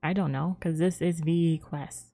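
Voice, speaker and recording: A woman's voice: low voice, fairly even intonation, unhurried, dark tone. A woman streamer giving casual, playful and rambling live commentary while playing a game. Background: faint game music.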